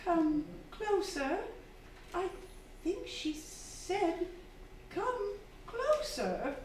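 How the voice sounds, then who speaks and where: A woman's voice: very high-pitched, big pitch swings, extremely slow, a little mellow, heavily acted. A woman playing a domineering, suspicious and fearful wife in a radio drama, with an agitated, demanding, theatrical delivery. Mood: fearful, fixated. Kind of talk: stage radio drama acting. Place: theatre stage before a live audience. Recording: very echoey hall, distant, faint noise.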